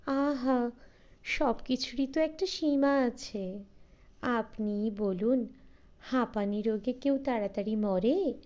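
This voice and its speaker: A woman's voice: fairly high pitch, takes it slowly, long pauses, a little mellow, dull audio. A woman voicing a talkative middle-aged wife who complains frankly and openly. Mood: complaining.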